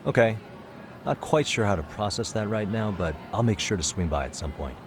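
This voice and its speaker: Low-pitched, easygoing wry detective. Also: male voice, animated, a little fast, background noise. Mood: relaxed, puzzled. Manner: casual, dry. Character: easygoing, wry adult male private detective. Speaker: detective character. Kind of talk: English game voice acting. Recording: loud music behind the voice. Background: background music.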